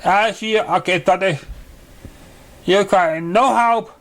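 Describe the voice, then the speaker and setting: A grandly theatrical male master of a magical contest, acted out by a man reading a story, pitched high. He proclaims his praise in an effusive, ceremonial manner and takes his time over the words. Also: slow, a bit bright, exaggerated voice. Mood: delighted, impressed. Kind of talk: storybook character voice in fan fiction reading.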